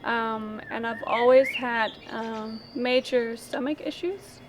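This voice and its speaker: Interviewee, woman from Denmark, slightly high voice; casual, halting storytelling; noisy outdoor take. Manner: halting, thinks aloud.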